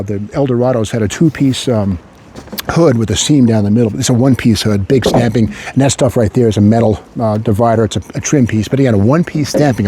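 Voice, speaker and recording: A man's voice: medium pitch, mellow, a little hiss or hum. A man hosting an unscripted walkaround car commentary in a rambling, repetitive way with wry asides. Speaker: car show host.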